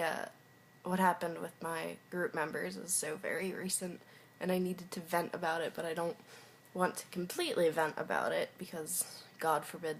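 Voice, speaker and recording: A young woman recording a personal video diary, talking frankly in a halting, hesitant way and sometimes dropping to a whisper. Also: on the low side, vocal fry, a little hiss or hum. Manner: frank and confessional.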